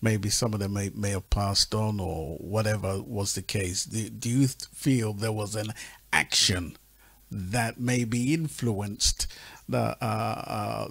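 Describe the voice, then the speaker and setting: A man hosting a talk show, asking measured, probing questions with some restarts. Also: lowish voice, creaky, breathy edge, dry recording. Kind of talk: interviewing a guest.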